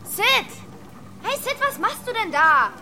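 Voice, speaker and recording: A woman's voice: very high-pitched, sing-song, slow, exaggerated voice. A woman playing a worried, exasperated young girlfriend in a German radio play, urgent and coarse-mouthed. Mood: alarmed. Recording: echoey, noisy scene with ambience.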